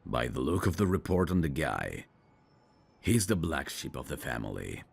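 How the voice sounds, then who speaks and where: A man playing a gruff, world-weary veteran police detective in an audio drama, with a husky, gravelly voice and a sardonic manner. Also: very deep voice, big pitch swings, moderate pace, full character voice. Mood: dry, matter-of-fact. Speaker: detective mentoring a rookie. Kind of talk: scripted roleplay audio drama.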